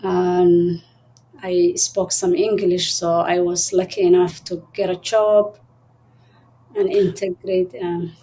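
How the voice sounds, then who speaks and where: A soft-spoken woman, her voice mellow and warm. She talks thoughtfully as an interview guest, pausing and restarting as she gathers her words. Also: fairly low pitch, level tone, poor audio. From Somalia. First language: Somali. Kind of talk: interview conversation.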